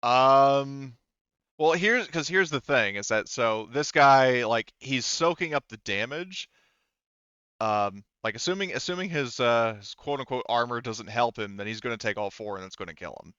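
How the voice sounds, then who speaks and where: Male game master, smooth lowish voice, halting think-aloud delivery at the table, dry but slightly dull lo-fi audio. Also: lively intonation, sharp tone, no room sound. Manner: halting, thinking aloud while working through rules and dice. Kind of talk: running a tabletop role-playing game, casual chat.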